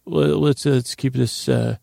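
A man hosting a podcast, talking in a meandering, halting way and drifting into a slow, lulling bedtime monologue. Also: mid-range voice, fairly even intonation, creaky, warm tone, dry recording, clean studio sound. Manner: meandering, halting, with restarts and filler words; slow drowsy lulling delivery. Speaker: podcast host.

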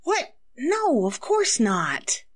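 An actress playing a composed but indignant widow under suspicion in a 1940s-style radio drama, formal and defensive. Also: female voice, high-pitched, wildly swooping pitch, very slow, slightly rough, full character voice.

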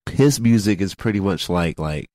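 A man with a very low, deep voice hosts a casual podcast chat while congested. He stumbles through restarts and fillers. The sound is dry and close. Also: animated, darkish tone, dry recording. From Philadelphia. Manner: halting, many repeated words and fillers. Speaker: podcast host. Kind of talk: casual radio-style chat.

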